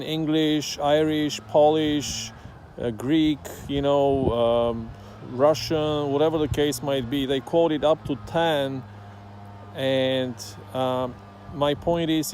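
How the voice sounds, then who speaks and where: A man from Bulgaria, whose first language is Bulgarian, talks slowly and thoughtfully, filling his pauses with "um". His voice is mellow and dark, at a middling pitch. He is recorded outdoors, with faint traffic behind him. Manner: slow, thoughtful, many "um" pauses. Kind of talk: casual talk to camera.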